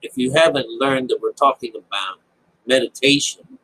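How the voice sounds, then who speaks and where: A man with a husky voice giving informal, unscripted Bible commentary, rambling, repeating phrases and checking in with his listeners. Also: medium pitch, normal speed, pushed and bright, low-quality recording. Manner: rambling explanation with frequent check-ins like "all right?" and "okay?". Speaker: Bible teacher.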